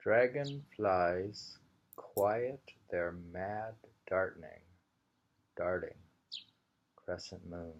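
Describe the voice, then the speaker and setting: A man reading short poems in an unhurried, halting way, repeating lines slowly and musing on them informally in a dull, lo-fi home recording. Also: low voice. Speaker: reader of a poetry anthology.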